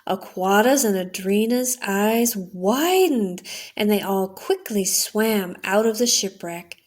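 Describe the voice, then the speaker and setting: A middle-aged woman reading a children's story aloud as a storyteller, in a deliberate, expressive way. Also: mid-range voice. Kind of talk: bedtime story narration, read aloud.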